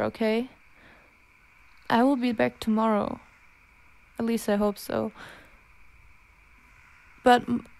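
Woman acting a hesitant, creaky-voiced werewolf girlfriend. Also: on the high side, darkish tone. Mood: urgent pleading. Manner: halting, hesitant, pleading. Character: anxious, self-loathing young female werewolf, protective and gentle. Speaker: amateur roleplay voice actor. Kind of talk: intimate ASMR girlfriend roleplay.

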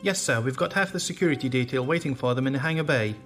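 An actor playing an anxious, obedient deck officer in a science fiction audio drama, reporting with urgency. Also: male voice, medium pitch, fast, fairly bright tone, faint music behind, close to an everyday voice. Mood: dutiful.

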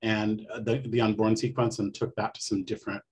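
A middle-aged American man from Connecticut with a husky voice, chatting casually as an interview guest on a video call. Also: fairly low pitch, little pitch movement, fairly quick, a little mellow. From Connecticut, United States. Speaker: guest playwright.

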